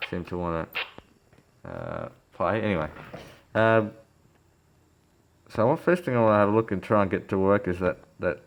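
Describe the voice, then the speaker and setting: Deep-voiced man with a creaky edge. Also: very animated, normal speed, long pauses, poor audio. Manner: hesitant, rambling, thinking aloud. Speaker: electronics repair hobbyist presenter. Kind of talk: casual unscripted repair walkthrough. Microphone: phone filming the workbench.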